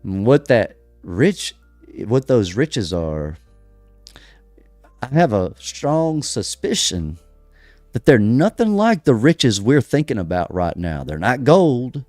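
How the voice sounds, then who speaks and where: An American man hosting a religious podcast, delivering emphatic commentary full of rhetorical questions, pauses and restarts. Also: medium pitch, very animated, a little mellow, no room sound, faint music behind. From United States. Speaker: podcast host. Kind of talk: preaching-style religious commentary.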